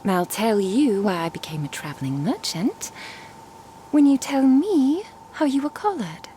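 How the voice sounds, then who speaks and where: A woman playing a curious, composed traveling merchant and master in an ASMR roleplay, speaking in a measured, formal, inquisitive way. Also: fairly high pitch, big pitch swings, dark tone, a little hiss or hum, poor audio. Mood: composed, probing. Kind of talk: ASMR roleplay audio drama.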